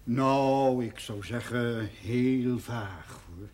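An actor playing a tactful yet pointed village schoolmaster, courteous and husky, in a 1970s Dutch television drama. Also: male voice, medium pitch, a little mellow. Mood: pointed, reasoning. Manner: courteous, deliberate.

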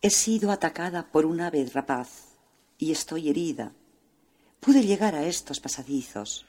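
A man voicing small female animals in a children's story, raising his voice high. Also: expressive, unhurried, a little mellow. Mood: weak. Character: female creature: beetle, then wounded swallow.

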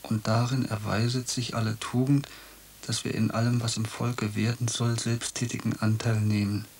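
A man's voice: mid-range voice, level tone, normal speed, darkish tone, a little hiss or hum, close to an everyday voice. An actor playing a fervent young male student, declaiming a passionate appeal in a radio drama. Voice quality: impassioned, declamatory.

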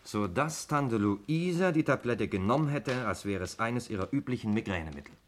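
A man's voice: mid-range voice, animated, moderate pace, close to an everyday voice. A man playing a sceptical nephew in a 1960s radio crime drama, putting pointed, probing questions. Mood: sober. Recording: old radio recording with faint noise.

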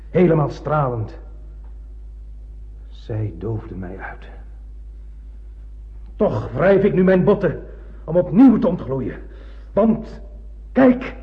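Muffled old radio play, obsessed blind space captain, older, declamatory, poetic, fanatical. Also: male voice, high-pitched, heavily acted. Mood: fanatical, fervent. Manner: declamatory, poetic, swinging from hushed to ranting. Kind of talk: theatrical radio drama monologue.

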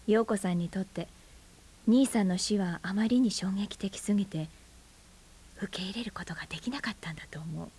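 A husky aunt in a radio drama, breathy and guarded. Her casual, blunt phrasing covers a buried grief. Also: medium pitch, moderate pace, warm tone. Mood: quiet, sorrowful. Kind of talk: naturalistic Japanese radio drama acting. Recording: 1990s FM radio drama on cassette.

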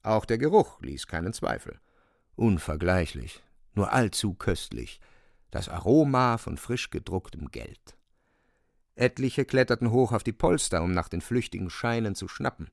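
A man's voice: lowish voice, sing-song, measured pace, gravelly, no room sound, clean studio sound. A man narrating a literary radio drama in a dramatic storytelling style.

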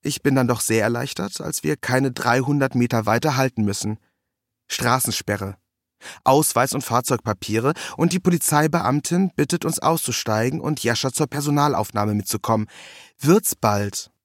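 A man's voice: mid-range voice, normal speed, no room sound. Male narrator, literary first-person reportage, wry and ironic, very dry sound. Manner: wry, ironic delivery with acted quotes.

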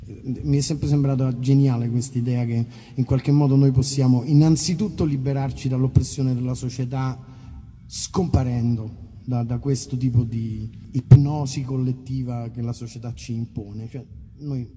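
Dark-voiced man, long reverb. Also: medium pitch, fairly even intonation, moderate pace. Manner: rambling, informal, self-interrupting. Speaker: musician. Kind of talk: casual spoken introductions between songs. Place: live outdoor festival concert in a park. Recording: live concert, long reverb, faint noise.

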